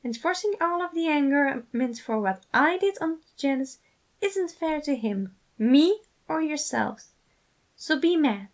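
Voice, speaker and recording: A woman's voice: high voice, animated, measured pace, voice pushed up. A woman voicing a loving, fatherly man who talks earnestly and emphatically in a fan fiction reading. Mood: earnest, encouraging.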